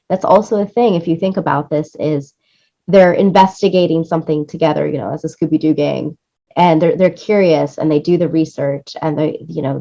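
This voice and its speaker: A woman's voice: low-pitched, vocal fry, mellow, lo-fi sound. A woman speaking as an interview guest in an enthusiastic, effusive way, often repeating phrases for emphasis. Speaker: interview guest, music supervisor and producer.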